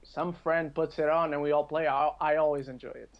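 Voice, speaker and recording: Casting: male podcast talker, fairly high voice, rambling and self-correcting, casual delivery, slightly muffled lo-fi recording. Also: steady tone, brisk. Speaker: podcast speaker. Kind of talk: casual podcast chat about games and films.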